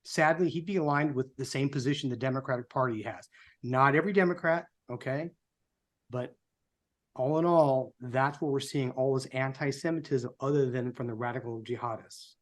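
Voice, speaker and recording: A man's voice: medium pitch, moderate pace. A sixty-year-old American man giving opinionated, rhetorical political commentary as co-host of a live talk show.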